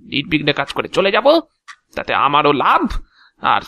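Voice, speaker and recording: A man acts out an agent of Death in an audio drama, proud of his job and quick to take offence. The voice is bright and animated, recorded close and dry. The delivery is theatrical and self-important. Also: on the high side, gravelly, no room sound, lo-fi sound, heavily acted. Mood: warning.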